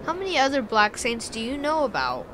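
A man's voice: extremely high pitch, very animated, a little fast, a bit bright, slight background noise, heavily acted, low-quality recording. An actor playing an earnest, troubled young boy in an audio drama, speaking hesitantly.

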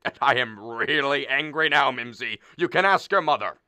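A man acts out a middle-aged father of the bride in a radio play. He is blustering, sarcastic and argumentative, swinging widely in pitch with a bright, forceful edge, recorded close and dry. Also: animated, moderate pace, smooth, no echo. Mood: stern, angry. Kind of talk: stage comedy performed as a radio play.

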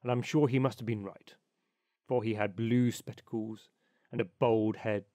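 Man voicing a low, pompous water rat. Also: steady tone, normal speed, warm tone, no room sound, natural voice. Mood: pompous, opinionated. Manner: pompous, know-it-all. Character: opinionated, self-satisfied male water rat.

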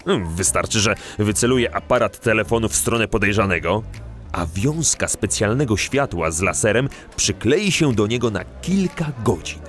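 A genial veteran animal police officer in a children's audio drama. The voice is on the low side. Soft music runs faintly underneath. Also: male voice, animated, moderate pace. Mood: admiring, matter-of-fact.

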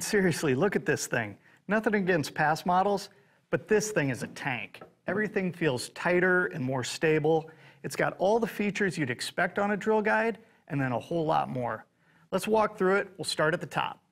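A man's voice: on the high side, gravelly. A middle-aged man giving an enthusiastic, conversational product demonstration to camera, stressing his points. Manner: conversational, emphatic. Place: indoor woodworking shop.

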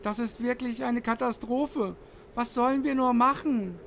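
A man's voice: very high voice, measured pace, gravelly, a bit bright, slight background noise, heavily acted. A man voicing a kindly, worried old Santa Claus in a children's audio play, sighing and lamenting. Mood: despairing.

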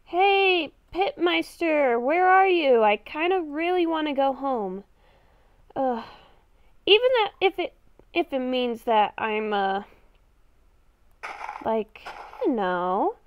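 A woman's voice: sits high, animated, takes it slowly, long pauses, no echo, highs cut off. A woman improvising in-character game roleplay, talking to herself in murmurs and exclamations. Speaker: gaming video creator playing a roleplay character.

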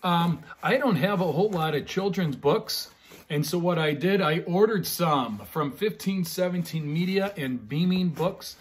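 A man's voice: sits high, a little slow. A middle-aged American man, a pastor chatting casually and reading children's bedtime stories expressively. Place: living room at home, indoors.

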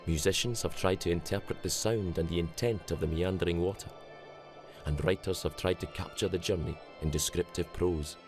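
A man gives steady, scripted documentary narration. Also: deep voice, moderate pace. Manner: steady, descriptive. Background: music.

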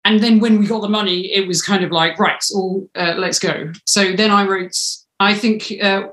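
A woman's voice: medium pitch, fairly even intonation, moderate pace, a bit bright. Woman chatting in an echoey room. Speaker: podcast writer and director being interviewed. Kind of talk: casual interview chat. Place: small room at home, indoors. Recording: roomy, echoey home recording.

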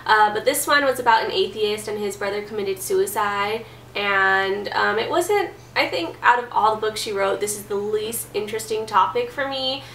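A woman's voice: medium pitch, normal speed, a bit bright, distant mic. A young woman in her twenties talks casually from her bedroom, her voice stuffy from a cold. She is recorded by a camera mic set some way off, so the sound is roomy with a faint hiss. Her talk is chatty and full of "like" and "um". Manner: chatty, lots of "like" and "um". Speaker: book vlogger. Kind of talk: casual chat. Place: bedroom, indoors. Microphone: camera mic at a distance.

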